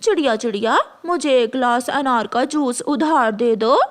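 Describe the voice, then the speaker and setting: A woman voicing an eager, wheedling crow in a children's cartoon, pleading and excitable. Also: on the high side, moderate pace, close to an everyday voice.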